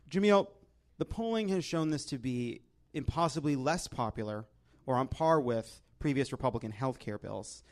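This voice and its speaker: An American man hosting a comedy politics panel with wry, exasperated banter and casual swearing. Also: mid-range voice. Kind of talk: comic banter and topical commentary.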